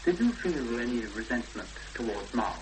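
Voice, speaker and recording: An actor playing a measured, deliberate questioner who gently draws out a troubled woman in a 1970s radio drama. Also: mid-range voice, very animated, close to an everyday voice. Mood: calmly probing. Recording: noisy 1970s radio broadcast recording.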